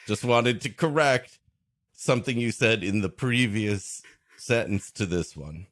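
A man's voice: on the low side, a little slow, fairly bright tone. A man co-hosting a comedy podcast, breaking into the story with sarcastic, mock-pedantic banter. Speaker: podcast co-host who interrupts the story. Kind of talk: comic banter.

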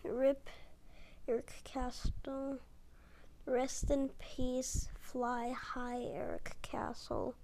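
A young girl talking in a hushed, often whispered voice late at night at home, with halting restarts. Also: high-pitched, flat delivery, a little hiss or hum. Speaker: video uploader. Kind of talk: casual talk over a screen recording. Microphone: tablet mic.

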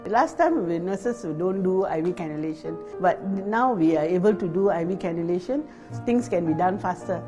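A woman's voice: on the low side, animated, normal speed, few pauses. Midwife in her fifties, music behind. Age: 50s. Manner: frank, plain-spoken. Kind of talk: documentary interview.

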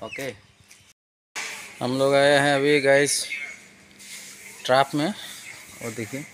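A man's voice: mid-range voice, level tone, slow, fairly bright tone. A man in his thirties with an Indian accent, chatting casually and informally to viewers as a vlogger, recorded on a phone held at arm's length. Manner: informal, addresses viewers as friends. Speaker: vlogger and factory worker. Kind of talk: casual vlog chat to camera. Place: tea factory halls and yard. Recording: noisy factory ambience, phone recording.

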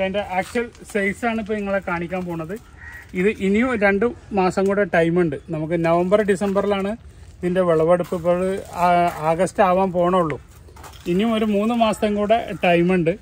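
High-pitched man touring his garden nursery. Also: a little hiss or hum. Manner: animated, argumentative, asks rhetorical questions. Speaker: plant nursery owner giving a garden tour. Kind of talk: casual garden tour talk.

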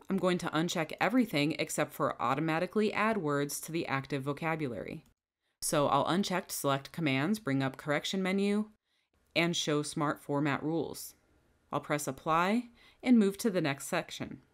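Low-voiced woman walking through software steps. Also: moderate pace. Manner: clear, step-by-step instructional delivery. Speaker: software trainer. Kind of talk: screen-recorded software tutorial. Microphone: headset or bench microphone.

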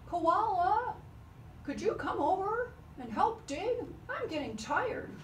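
A woman's voice: very high voice, big pitch swings, takes it slowly, reverberant, distant mic, a little hiss or hum, voice pushed up, full character voice. A woman voicing a kind, hardworking male kangaroo who speaks politely and coaxingly in a folk tale. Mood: tired, pleading.

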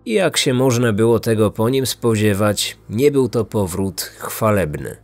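A man's voice: on the low side, animated, a little slow, a little mellow, faint music behind. A man reading a scripted true-crime story as a podcast narrator.

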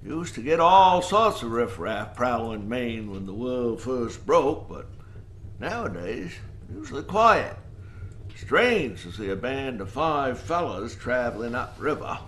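A wary old man of the coast in a radio drama. He talks slowly in a folksy, ruminative way, with a lively rise and fall. Faint ambience sits behind him. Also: medium pitch, slight background noise. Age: older. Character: weary, wary old male lighthouse keeper, war veteran. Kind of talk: audio drama acting.

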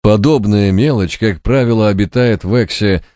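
Male narrator, low-pitched voice, dry close studio sound, expressive fantasy audiobook reading. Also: normal speed, no echo. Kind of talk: scripted narration of a fantasy novel.

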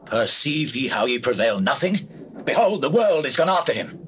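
A man's voice: medium pitch, moderate pace. Grudging male objector, declamatory, swooping intonation, faint noise, biblical audio drama. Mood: exasperated. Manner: animated, declamatory.

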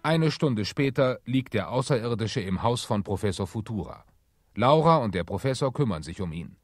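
Low-voiced male radio play narrator. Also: expressive, normal speed, faint music behind.

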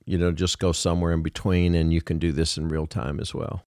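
A man's voice: sits low, little pitch movement, fairly quick, very noisy. A man narrating a casual music production tutorial, explaining things informally step by step.

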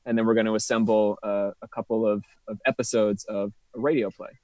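A man's voice: on the low side, little pitch movement, a little fast, a little mellow, no room sound. A man in his thirties, a theatre teacher, talking casually in an interview over a video call. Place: indoor room at home, on a video call.

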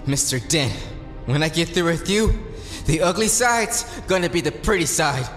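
An actor playing an earnest, hot-blooded young hero in an audio drama. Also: male voice, fairly high pitch, animated, normal speed, a bit bright. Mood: furious. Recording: echoing garage-like space, faint music.

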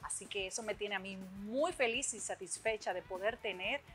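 A woman's voice: medium pitch, moderate pace, fairly bright tone, faint music behind. A middle-aged woman with a Dominican accent presenting and interviewing effusively as a talk show host in a television studio. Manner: gushing, effusive.

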